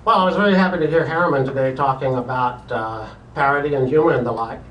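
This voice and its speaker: A man's voice: mid-range voice, fast, a bit bright, distant mic, close to an everyday voice. A man voicing a brash, wisecracking puppet professor in a live ventriloquist comedy act. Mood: brash, indignant. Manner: punning, wisecracking retorts. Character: wisecracking puppet professor, brash, conservative, vain and quick-witted. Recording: roomy, noisy conference hall from afar.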